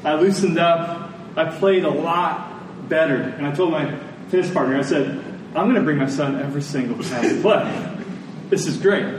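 An American man, middle-aged, preaching a sermon in a conversational way, with short deliberate phrases and rhetorical questions. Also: a little high. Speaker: pastor preaching. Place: large church meeting room with congregation. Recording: echoey hall, distant mic, faint noise.

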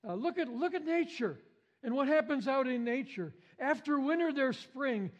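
A man's voice: extremely high pitch, animated, normal speed, muffled recording. A man preaching an Easter sermon as pastor, with a big voice, emphatic and repeating his phrases. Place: church sanctuary.

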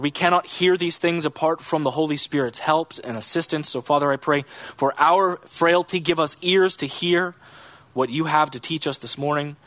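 A pastor with a bright, forceful voice preaches in an earnest, measured way, with a cough he mentions. His voice sits a little high for a man and rises on the words he stresses. The sound is close and dry. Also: no room sound. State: has a cough. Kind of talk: sermon with scripture reading and prayer.

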